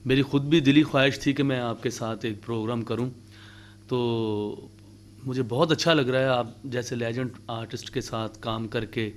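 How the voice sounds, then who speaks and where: A man appearing as a guest singer on a TV studio show, humble and soft-spoken, his throat unwell. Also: medium pitch, steady tone, slight background noise. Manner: humble, soft-spoken, sings couplets slowly. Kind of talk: polite studio chat and folk singing. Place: TV studio set.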